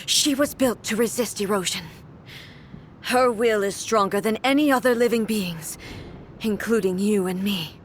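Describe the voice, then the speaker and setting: A woman's voice: mid-range voice, a little slow, slight background noise, natural voice. An actress voicing a cold, commanding woman ruler in a video game, with an imperious, clipped delivery. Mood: solemn. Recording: loud game music behind.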